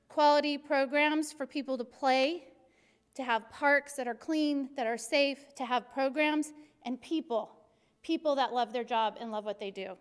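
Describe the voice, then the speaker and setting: A middle-aged woman from Arizona, a city recreation superintendent with a very high-pitched voice, giving an energetic, joking presentation to a class. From Arizona, USA. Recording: long hall reverb.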